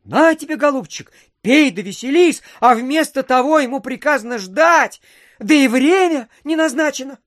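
An actor playing a chatty provincial official who spins a folksy, rambling tale in an audio play, full of filler phrases. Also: male voice, very high-pitched, lively intonation, moderate pace, a bit bright. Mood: animated, ironic. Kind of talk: theatrical storytelling in an audio play.

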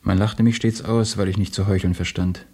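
An actor playing an idealistic young revolutionary, a candid and earnest former student, in a German radio drama. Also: male voice, sits low, fairly even intonation, a little fast, mellow. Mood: fervently earnest.

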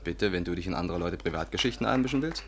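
An actor playing a dry, sardonic gentleman with a wry, unsentimental manner in a 1950s German radio play. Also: deep voice, animated, fast. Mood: reproving. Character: dry, sardonic gentleman companion of a woman sleuth. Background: music.

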